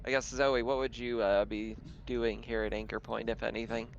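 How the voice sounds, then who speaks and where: A man's voice: mid-range voice, level tone, normal speed, creaky. A man acting as game master of a tabletop game, narrating in a casual, chatty way. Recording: dull lo-fi audio, faint noise.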